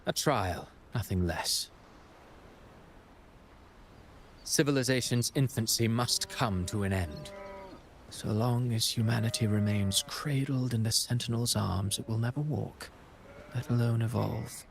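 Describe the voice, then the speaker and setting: A man's voice: mid-range voice, a little slow, gravelly, a little hiss or hum. A man playing a grandiose, self-assured mastermind villain in a video game cutscene, composed and eloquent, with faint ambience behind.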